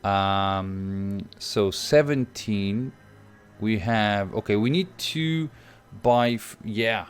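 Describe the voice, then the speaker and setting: A man's voice: on the low side, drawn-out words, smooth, soft background music. A young Portuguese man with a Portuguese accent, thinking aloud through a strategy game on stream and chatting with viewers. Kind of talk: live gameplay commentary.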